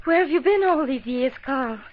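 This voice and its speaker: An actress playing a frightened, distraught estranged wife in an old-time radio melodrama. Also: female voice, sits high, lively intonation, normal speed, a little hiss or hum. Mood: shaken. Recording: muffled lo-fi old radio recording.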